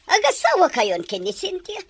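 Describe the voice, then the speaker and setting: A woman's voice: very high voice, wildly swooping pitch, normal speed, no echo, exaggerated voice. A woman acting a stern, domineering mother of a grown son in an Ilocano radio drama, scolding and insistent, with an Ilocano accent.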